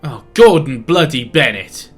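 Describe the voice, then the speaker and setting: A man's voice: mid-range voice, very animated, measured pace, fairly bright tone, slightly rough, faint music behind, full character voice. A man voicing an irritable, proud railway owner in an audio drama, blustering and exasperated.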